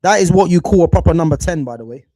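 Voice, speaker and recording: Chatty young man, high voice. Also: lively intonation, quick pace, mellow. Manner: chatty, slangy, talks to chat, restarts often. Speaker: live watch-along streamer. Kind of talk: casual football chat with viewers.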